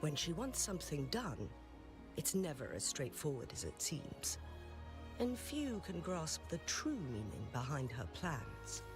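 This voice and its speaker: A courteous town official who speaks formally and politely. The voice moves up and down in a lively way, at a middle pitch. It is scripted game dialogue. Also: unhurried, over music. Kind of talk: game voice acting.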